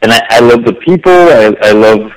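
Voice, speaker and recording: A man from Brazil, a filmmaker guest, answering interview questions in a halting way with many fillers. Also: medium pitch, lively intonation, poor audio.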